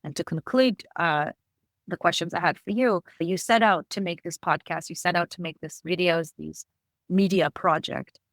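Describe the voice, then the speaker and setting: A woman from Israel interviewing a podcast host, speaking in a thoughtful, measured way. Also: fairly low pitch, no echo, studio quality. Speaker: guest interviewer. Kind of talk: conversational interview.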